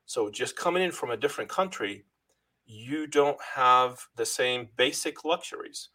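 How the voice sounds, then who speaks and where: A man's voice: mid-range voice, lively intonation, unhurried, sharp tone. A middle-aged man originally from Lebanon, a guest in an interview, telling his life story earnestly and thanking God often, with frequent restarts.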